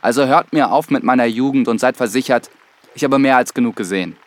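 A man's voice: mid-range voice, fast talker, natural voice. A man playing an earnest, dutiful young priest in a radio drama, speaking formally and precisely. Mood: firm, piqued. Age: young adult.

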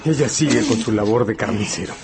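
A man's voice: lowish voice, fairly quick, lightly acted. A man narrating a gothic radio drama in a solemn, suspenseful, literary style. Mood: urgent, suspenseful. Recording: radio play with music underneath.

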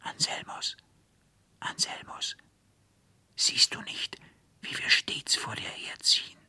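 A man voicing enchanted little female snake spirits in a breathy, hissing, slow and coaxing whisper, as in a storybook. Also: sharp tone, no room sound. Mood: eerie, coaxing.

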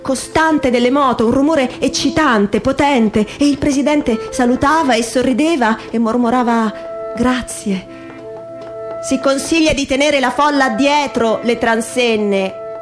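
A woman giving a dramatic literary reading in measured, emphatic phrases, in the style of radio theatre. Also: high voice. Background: music.

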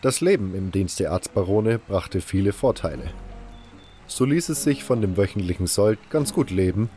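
A man playing a fantasy convict who recounts camp life in measured, matter-of-fact first-person audio drama narration. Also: on the low side, animated, warm tone, soft background music, natural voice. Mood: matter-of-fact recollection.

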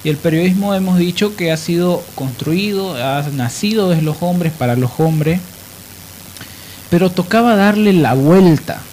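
A man's voice: high voice, animated, unhurried, darkish tone, slight background noise. A man with a Bolivian accent hosting a radio show, explaining things emphatically and with opinion. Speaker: radio host. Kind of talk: radio discussion and biographical narration.

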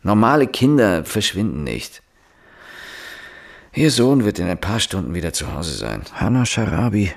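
An actor playing a weary, dismissive middle-aged police inspector in a radio drama, deadpan and brusque. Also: male voice, deep voice, gravelly, a little hiss or hum, heavily acted. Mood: weary, brooding. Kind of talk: radio drama acting with inner monologue.

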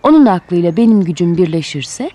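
A woman's voice: fairly low pitch, big pitch swings, brisk, warm tone, pitched below the performer's own voice. A woman voicing an aging, weakened, greedy wolf in a children's story, blunt and eager. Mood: pondering.

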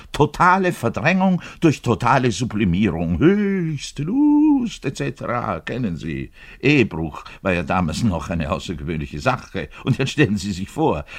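An actor playing an embittered, self-pitying middle-aged double bassist in a rambling, theatrical radio monologue, pedantic and given to muttering and indignant declaiming, with a husky voice. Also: male voice. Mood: indignant, scornful. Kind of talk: theatrical radio play monologue, confiding to a listener.

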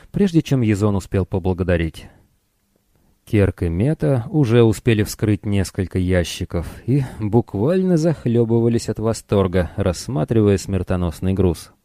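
A man's voice: fairly low pitch, warm tone, no echo, studio quality. A man reading a novel as an audiobook narrator in a scripted storytelling style.

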